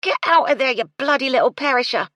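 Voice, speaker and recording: A woman voicing a stout, hot-tempered cook, coarse and yelling. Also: sits high, animated, quick pace, no room sound, pitched above the performer's own voice. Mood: angry.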